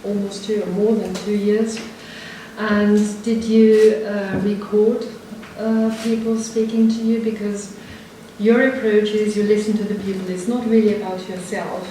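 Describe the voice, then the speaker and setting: A woman's voice: mid-range voice, little pitch movement, unhurried, mellow. A woman moderating a literary event, asking long winding questions full of hesitations. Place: literature house event hall. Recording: echoey hall from far off, noisy.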